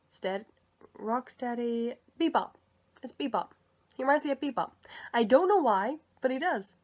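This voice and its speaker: A young woman giving casual, unscripted commentary in a tentative, musing way, as if thinking aloud. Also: a little high. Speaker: vlogger sharing opinions on film characters.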